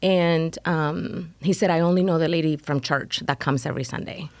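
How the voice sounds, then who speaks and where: A middle-aged woman from El Salvador, telling her story in a relaxed interview and filling her talk with "you know.". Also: low voice, normal speed, no echo. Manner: rambling, many fillers like "you know". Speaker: interview guest, foster mom. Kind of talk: casual conversational interview.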